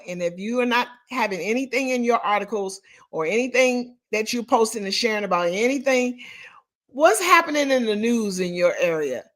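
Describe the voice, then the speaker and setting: A woman, coaching real estate agents in an animated, emphatic way. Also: fairly high pitch. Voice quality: raspy. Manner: animated, emphatic, talks straight to the audience. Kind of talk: live online coaching session.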